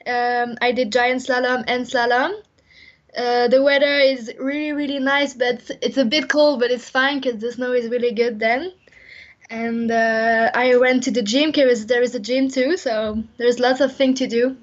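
A young woman with a French accent, speaking English as a second language, answering interview questions over the phone and pausing often to find her words. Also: fairly high pitch, flat delivery. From France. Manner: halting, searching for words, many uh fillers. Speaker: interviewed athlete. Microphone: phone line. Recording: lo-fi phone line.

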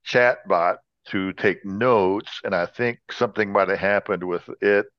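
A man with a fairly low voice hosts a casual talk podcast. He chats loosely and drops jokey wisecracks. The recording is dry and close, with a dull, lo-fi tone. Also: a little slow, dry recording. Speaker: podcast host. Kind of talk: casual joking chat.

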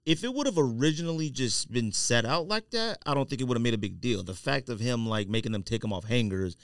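A man's voice: mid-range voice, lively intonation, quick pace, no room sound, clean studio sound. An American man hosting a casual podcast, arguing his points emphatically and repeating himself. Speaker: podcast host. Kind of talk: casual podcast chat and debate. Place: indoor podcast studio.

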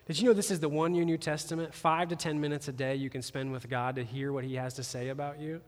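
A man preaching a sermon as campus pastor, animated and conversational, with playful jokes aimed at the congregation. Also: on the high side, fast. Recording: long reverb of a large hall.

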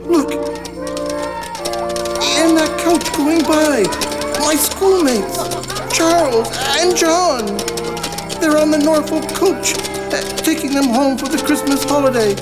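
An actor playing a shaken old miser in a radio drama, halting and astonished. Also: male voice, very high-pitched, low-quality recording. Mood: delighted wonder. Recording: loud music behind the voice.

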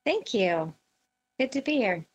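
A woman's voice: fairly high pitch, big pitch swings, unhurried, breathy edge, highs cut off. A middle-aged woman answering questions as a guest in a conversational interview. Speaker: podcast guest, veteran emergency dispatch professional.

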